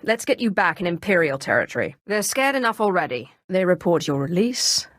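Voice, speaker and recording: A woman voicing an imperious, cunning young Sith sorceress in a video game, with a haughty, deliberate, theatrical delivery. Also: lowish voice, very animated, no echo, clean studio sound. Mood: cool menace.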